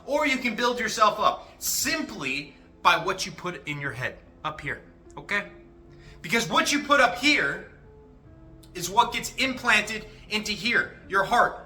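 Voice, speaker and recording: High, bright-voiced young man, cursing freely. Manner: profane, animated, rambling. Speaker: motivational vlogger. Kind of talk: casual motivational talk. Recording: echoey room, faint music.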